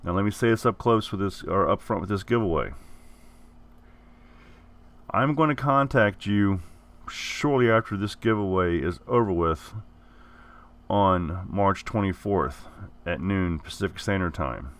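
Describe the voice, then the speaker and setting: Deep-voiced man getting over the flu. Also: lively intonation, a little slow, fairly bright tone. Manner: rambling, repeats and corrects himself. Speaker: gaming channel host. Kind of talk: casual giveaway announcement.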